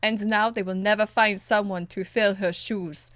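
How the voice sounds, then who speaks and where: A woman's voice: mid-range voice, fairly even intonation, normal speed, fairly bright tone, no echo, natural voice. A woman voicing a blunt, stern and dutiful warrior in a video game, speaking in a curt, earnest, formal way. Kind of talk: video game dialogue acting.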